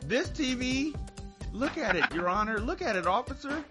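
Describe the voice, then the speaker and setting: A man's voice: extremely high pitch, animated, normal speed, music in the background. A man playing an exasperated, hysterical woman who pleads her case to police in dramatic, repetitive, profane bursts in a comedy skit. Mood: insistent, exasperated.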